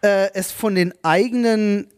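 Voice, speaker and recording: High-voiced man chatting casually. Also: steady tone, unhurried, clean tone. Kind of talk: casual chat, telling family anecdotes.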